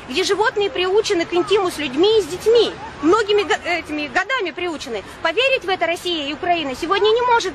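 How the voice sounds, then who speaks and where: A woman in her forties, born in Ukraine and raised in Russia, giving an urgent, insistent speech at a street picket and often pausing on drawn-out "uh" fillers. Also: extremely high pitch, fairly bright tone, a little hiss or hum. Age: 40s. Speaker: protester at a picket. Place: outdoor street picket beside traffic.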